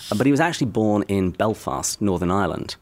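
A man with an English accent and a very low voice talks through history in a measured, explanatory way. He sounds like a podcast co-host walking a friend through a story, unhurried and clear. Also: animated. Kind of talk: casual podcast conversation.

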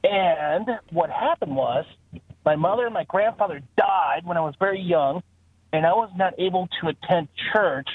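A man from Mexico, a native Spanish speaker, calling in with a halting, hesitant question. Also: sits high, husky, no room sound. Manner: hesitant, halting, repeats words. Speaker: caller. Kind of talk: phone-in question. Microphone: telephone. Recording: tinny phone line.

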